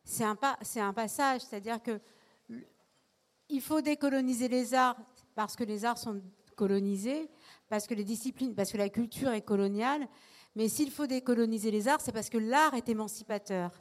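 A woman's voice: on the high side, normal speed. A woman theatre director speaking on a panel discussion, earnest, often restarting her phrases. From not from Marseille, lives there.

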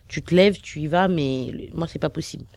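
A woman's voice: low voice, fairly quick, gravelly. A woman telling a personal anecdote in a casual interview, animatedly quoting what was said. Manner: animated, quotes herself and others.

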